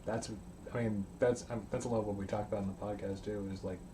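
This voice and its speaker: A man's voice: on the low side, steady tone, fast talker, mellow, a little hiss or hum. A man hosting a podcast, asking casual, rambling interview questions. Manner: brief affirmations, rambling questions. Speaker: podcast host.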